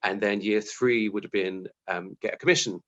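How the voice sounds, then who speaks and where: A man's voice: fairly low pitch, steady tone, moderate pace, a bit bright, lo-fi sound. A British man answering interview questions, talking reflectively and conversationally about his own working life, often acting out his thoughts. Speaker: interview guest, TV writer.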